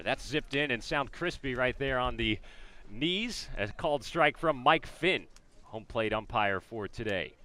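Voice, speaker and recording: A man with an American accent calling a baseball game as a radio play-by-play announcer, describing each pitch and the setting. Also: bright, forceful tone. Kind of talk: live sports commentary, descriptive radio play-by-play.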